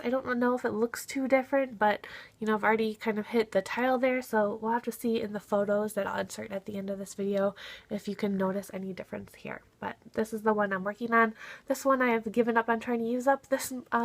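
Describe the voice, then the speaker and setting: A young woman in her twenties with a slight cold, chatting casually through a makeup progress update. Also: mid-range voice. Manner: chatty, talks through products she shows; drops to a quiet voice to avoid being overheard. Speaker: beauty vlogger.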